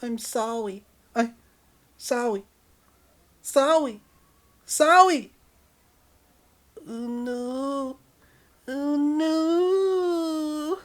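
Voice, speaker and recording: A man's voice: extremely high pitch, drawn-out words, long pauses, fairly bright tone, pitched above the performer's own voice, full character voice. A man voicing an adult lover who has slipped into whiny, cutesy, apologetic baby talk in an audio story. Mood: flustered, apologetic. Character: the lover turned babyish, whining, cutesy, apologetic adult partner.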